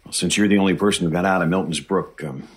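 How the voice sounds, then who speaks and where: A man's voice: sits low, fast, husky. A man playing an earnest government researcher in an audio drama, hesitant, fumbling and apologetic in a natural style. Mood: sheepish, eager.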